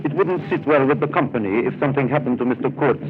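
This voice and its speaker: An actor playing a cautious colonial company manager, formal and guarded, in a 1930s radio play. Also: male voice, mid-range voice, fast talker, noisy background, lightly acted. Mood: wary. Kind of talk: 1930s radio drama acting. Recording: tinny 1930s radio broadcast with music.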